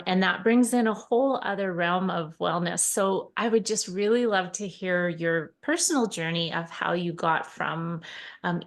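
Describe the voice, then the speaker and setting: A woman hosting a podcast, asking her guest an open question over a video call. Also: lowish voice. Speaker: podcast host. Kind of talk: interview questions. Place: office with couch indoors. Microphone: video call with desk microphone.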